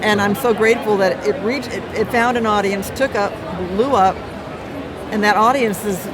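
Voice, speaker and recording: Middle-aged female guest, emphatic and appreciative, medium pitch, casual interview, crowded noisy recording. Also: normal speed. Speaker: interview guest, TV writer and producer. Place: busy comic convention. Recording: noisy busy convention with crowd din.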